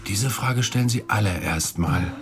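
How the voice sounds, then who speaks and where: An actor playing a chatty, cynical debt collector in a German radio drama, casual and wry, with chummy menace. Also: male voice, fairly low pitch, moderate pace, over music, lightly acted. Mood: wry, menacing. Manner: casual, wry, matter-of-fact about threats.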